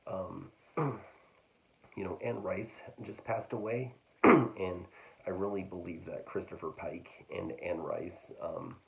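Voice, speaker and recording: A man casually talking through a book haul, hesitant and full of fillers, his voice getting raspy. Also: sits low, steady tone, slow pace, rough recording. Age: adult. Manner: hesitant, full of ums and restarts. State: getting raspy, clearing throat. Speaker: book vlogger.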